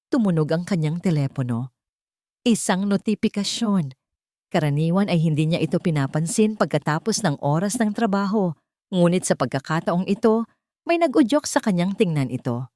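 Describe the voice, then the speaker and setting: A woman narrates a scripted drama as a storyteller. Her voice sits a little low. The sound is very dry, with almost no room to it. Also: very animated, normal speed, mellow, no room sound.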